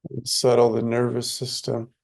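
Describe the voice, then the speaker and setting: A man leading a guided meditation in a soothing, unhurried way, with spare phrases and long pauses between them. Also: medium pitch, monotone, vocal fry, darkish tone.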